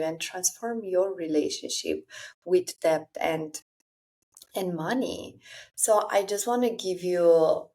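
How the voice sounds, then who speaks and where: A woman's voice: on the low side, creaky, darkish tone. A woman in her thirties from Romania with a Romanian accent, speaking English as a second language while hosting a solo podcast in an earnest, confiding way straight to her listeners. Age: 30s. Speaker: podcast host. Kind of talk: solo personal podcast monologue.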